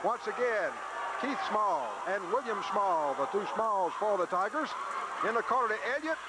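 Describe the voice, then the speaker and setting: A man's voice: high-pitched, lively intonation, moderate pace. A man calling live basketball play-by-play on local radio in clipped, punchy phrases. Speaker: radio play-by-play sports announcer. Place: crowded high school gym. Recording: noisy 1980s VHS-transferred local radio broadcast. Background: loud gym noise.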